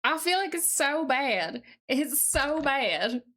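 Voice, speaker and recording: A woman with a distinctly high voice chats casually on a live stream. She puts on a Southern US accent and talks in a folksy, mildly sweary manner as she works at her computer. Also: very animated, slow pace, noisy background. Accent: put-on Southern US.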